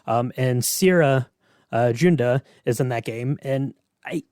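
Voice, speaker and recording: A man's voice: medium pitch, unhurried, darkish tone, no echo, studio quality. A man hosting a podcast in a casual, conversational way, thinking aloud as he talks. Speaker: podcast host.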